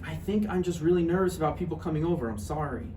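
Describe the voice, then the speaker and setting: Low-voiced woman playing nervous joking boyfriend Roger. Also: animated, fast talker, warm tone. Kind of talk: table read of a stage play. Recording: roomy, distant mic, faint noise.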